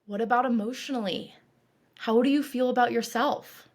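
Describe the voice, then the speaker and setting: Woman, fairly high voice, guided meditation, calm, reassuring, deliberate coaching delivery. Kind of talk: guided meditation, scripted narration.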